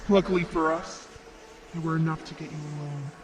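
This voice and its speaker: A man acts as a composed, clever fighter in an anime fan drama. His voice is on the high side, measured and smug. There is slight background noise. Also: fairly even intonation, natural voice. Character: composed, clever male fighter springing a trap.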